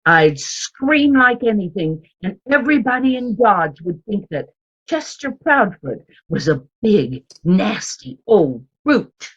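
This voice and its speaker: Woman playing a coy, husky frontier flirt. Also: medium pitch, sing-song, takes it slowly, highs cut off, full character voice. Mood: coy, taunting. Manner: coquettish, playful, mock-innocent. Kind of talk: old-time western radio drama acting.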